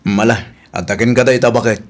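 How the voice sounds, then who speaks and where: A man's voice: medium pitch, fast talker, close to an everyday voice. Man acting humble Ilocano village husband. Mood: easygoing. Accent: Ilocano Filipino. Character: humble, good-natured poor village husband and father. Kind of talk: amateur comedy radio drama.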